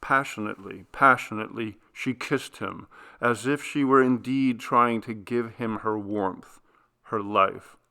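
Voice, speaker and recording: A man reading a literary ghost story as a solemn, deliberate, dramatic audiobook narrator. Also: on the low side, creaky, sharp tone. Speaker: audiobook reader.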